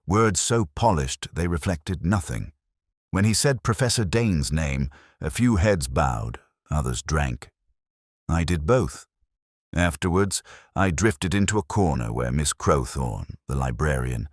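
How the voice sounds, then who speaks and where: Very deep-voiced man narrating a detective mystery. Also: no room sound, studio quality. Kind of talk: scripted narration, first-person detective mystery.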